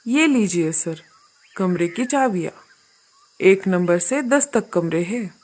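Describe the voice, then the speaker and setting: A woman's voice: medium pitch, expressive, takes it slowly, a little mellow, voice pushed down. A woman voicing a polite, earnest male crow who runs a hotel in a children's cartoon story.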